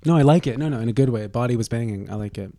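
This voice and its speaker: A man's voice: medium pitch, rapid-fire, warm tone, dry recording, studio quality. A man co-hosting a podcast, chatting casually in a teasing, rambling way with lots of restarts. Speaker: podcast co-host. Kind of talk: casual gossipy chat.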